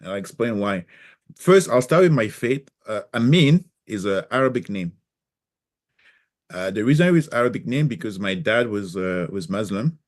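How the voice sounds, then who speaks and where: A man with a lowish voice and a mixed French and Cameroonian accent. He is a native French speaker from Cameroon, telling his story as a guest in a relaxed chat. He hesitates often and restarts his sentences as he searches for words. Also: normal speed. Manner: hesitant, many fillers and restarts. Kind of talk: casual interview chat.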